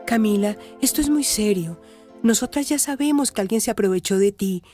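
A woman's voice: medium pitch, normal speed, dark tone, soft background music, natural voice. A woman with a Colombian accent playing a caring, resolute confidante in a radio soap, earnest and reassuring. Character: caring, resolute adult woman comforting a family in crisis. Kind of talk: radio soap opera acting.

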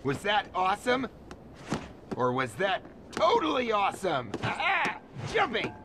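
Hyper, high-voiced young hero. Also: male voice, huge pitch swings, measured pace, background noise, heavily acted. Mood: thrilled. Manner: exuberant, exclamatory. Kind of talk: animated video game cutscene acting. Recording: music behind the voice.

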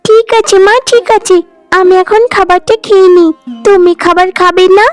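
A woman voicing a playful, whiny little village girl in a cartoon, childish and excitable in manner. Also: very high-pitched, normal speed, soft background music. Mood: gleeful. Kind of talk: Bengali cartoon voice acting.

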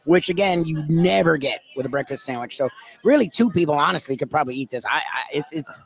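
A man's voice: on the high side, lively intonation, fast talker, vocal fry, poor audio. A middle-aged man co-hosting in casual conversation at a cafe table on a headset mic. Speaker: co-host. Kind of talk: casual chat. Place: busy cafe table, indoors.

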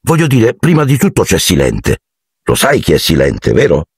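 An earnest young boy wizard played by a man narrating a storybook, a little lighter than the reader's deep voice. He sounds bewildered and insistent, with lively pitch and a slightly husky grain in a dry recording. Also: normal speed, no room sound, exaggerated voice. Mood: baffled.

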